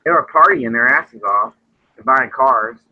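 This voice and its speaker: A man's voice: medium pitch, expressive, a little slow. A forty-year-old man in casual chat, telling a rambling story. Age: 40s. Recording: dull lo-fi sound with faint noise.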